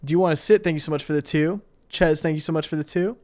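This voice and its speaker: A man's voice: fairly high pitch, fast talker, a little mellow, faint music behind. A man hosting a livestream, chatting casually and informally in slangy, appreciative asides to his viewers. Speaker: live streamer and host.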